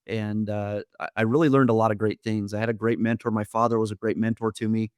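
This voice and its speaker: A man's voice: fairly low pitch, level tone, smooth, no room sound, clean studio sound. Earnest man from Idaho telling his story. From Idaho, USA. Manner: earnest, halting, many restarts and fillers. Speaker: podcast guest. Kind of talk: storytelling interview.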